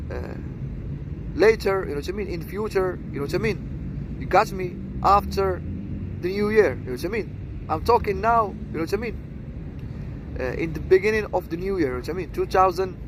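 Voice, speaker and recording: High-voiced Moroccan man over clear noise. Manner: halting short phrases with constant repeated tag questions. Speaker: seduction coach introducing own channel. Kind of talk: rambling vlog monologue.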